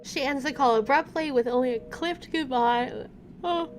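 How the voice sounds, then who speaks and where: High-voiced woman reading a story aloud. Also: moderate pace, faint music behind. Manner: stumbles over words and rereads them. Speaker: let's play host. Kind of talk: reading visual novel narration aloud with side comments.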